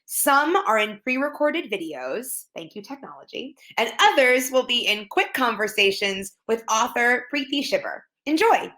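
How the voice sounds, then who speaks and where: A woman's voice: on the high side, sing-song, moderate pace, few pauses, pushed and bright. A woman presenting an event as host in a scripted, announcing style.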